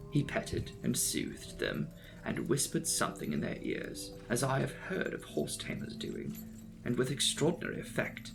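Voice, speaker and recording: A man narrates a gothic novel as a dramatic audiobook. His pitch swings widely through the phrases, and his mid-range voice carries a heavy gravelly creak. Music plays behind him. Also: rough recording. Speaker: audiobook reader. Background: background music.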